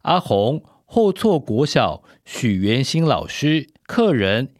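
A man's voice: mid-range voice, very animated, takes it slowly, no room sound, studio quality. A man reading out scripted credits as an announcer.

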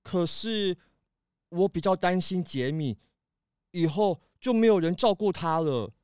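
A woman's voice: lowish voice, animated, unhurried, darkish tone, clean tone, dry recording, studio quality. A woman voicing a young male lemur, an earnest and principled older brother, in a children's audio drama with a sincere delivery. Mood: worried, grateful. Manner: sincere, heartfelt.

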